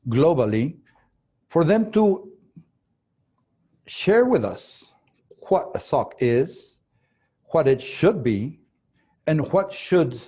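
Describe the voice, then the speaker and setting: A man whose first language is Spanish, moderating a conference panel in English. He reads the guests' bios in an unhurried, formal way, with halting restarts and throat-clearing along the way. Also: fairly high pitch, mellow. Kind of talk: formal introduction, reading guest bios aloud.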